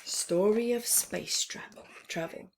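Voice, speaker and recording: A woman reads aloud as a story time host for small children. Her pace is very slow and deliberate, with a mid-range pitch and pauses between short phrases. The delivery is expressive, often easing down toward a hush. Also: gravelly, poor audio. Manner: deliberate phrasing with pauses, often dropping to a hushed near-whisper. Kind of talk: expressive read-aloud for young children, with chatty asides.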